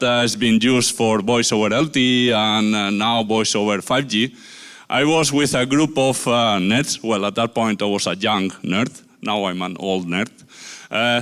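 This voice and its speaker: Spanish-accented man giving a halting talk. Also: medium pitch. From Spain. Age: middle-aged. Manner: halting, many filler pauses. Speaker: conference speaker. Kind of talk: conference talk.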